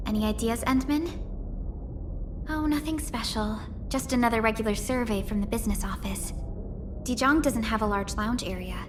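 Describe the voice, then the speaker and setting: Game role: a woman acting a young supervisor, somewhat high-voiced, measured, reserved yet caring, over clearly audible ambient noise. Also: natural voice. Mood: friendly, inviting. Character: composed, earnest young female company supervisor, quietly caring. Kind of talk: scripted video game character voice lines.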